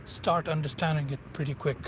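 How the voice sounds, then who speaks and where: A man from Punjab in Pakistan with a Pakistani accent, narrating a walking tour by a river in a halting way with many fillers. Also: on the high side, steady tone. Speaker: vlogger. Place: outdoors by a river. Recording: loud outdoor noise. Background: loud outdoor ambience with traffic.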